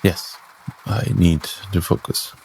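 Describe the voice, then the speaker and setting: A man voicing a solemn, weary priest in a tabletop game, speaking gravely and with ceremonial care. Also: sits low, fairly even intonation, takes it slowly, darkish tone, slight background noise, heavily acted. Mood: weary, reserved. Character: solemn, weary male priest of ancient spirits.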